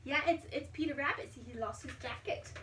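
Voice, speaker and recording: A woman reading a children's storybook aloud in an expressive, dramatic way, pausing to put questions to a young child. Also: high voice. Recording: roomy, distant mic, faint background noise.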